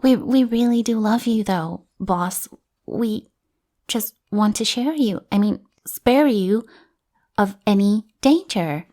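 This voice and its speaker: A woman's voice: medium pitch, takes it slowly, warm tone. A woman playing a devoted, lovestruck bodyguard in an audio roleplay, earnest and awkward, often hastily correcting herself. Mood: flustered earnest. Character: devoted, flustered young female bodyguard, hopelessly lovestruck and clingy. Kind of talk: acted audio roleplay addressed to listener.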